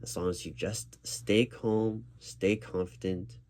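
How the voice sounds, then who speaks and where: A man's voice: lowish voice, steady tone, slow pace, a little mellow. A man performing a one-sided spoken ASMR roleplay as a recruiting manager, reassuring and patient, with formal corporate phrasing.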